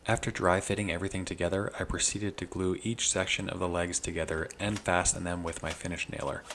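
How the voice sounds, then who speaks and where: A man's voice: low-pitched, little pitch movement, brisk, a bit bright, a little hiss or hum. A young man narrating his woodworking project in a plain, matter-of-fact voice-over, step by step. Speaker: DIY woodworking channel host.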